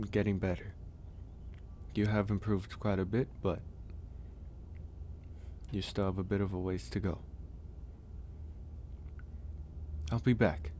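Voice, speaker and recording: A man playing a gentle, caring werewolf in an intimate ASMR roleplay, speaking softly and hesitantly with pauses. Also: on the low side, slight background noise, natural voice. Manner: soft, hesitant, pausing. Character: gentle, caring young male werewolf, shy and teasing. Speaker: audio roleplay voice actor. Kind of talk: ASMR roleplay, intimate one-sided dialogue.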